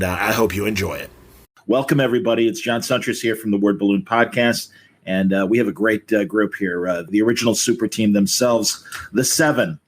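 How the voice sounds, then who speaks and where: A man hosting a podcast, introducing guests in a casual, stop-and-start way. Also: medium pitch. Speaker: podcast host.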